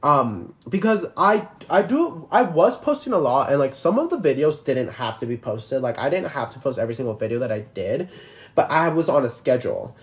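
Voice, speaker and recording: A man's voice: medium pitch, big pitch swings, moderate pace. A twenty-year-old man hosting a casual solo podcast, rambling and filler-heavy, constantly restarting his sentences. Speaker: podcast host.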